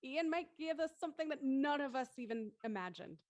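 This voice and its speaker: A woman's voice: sits high, expressive, a little fast, a little mellow, dry recording, lo-fi sound. A woman hosting an online panel discussion in an effusive, polite way. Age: adult. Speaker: host. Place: indoors on a group video call.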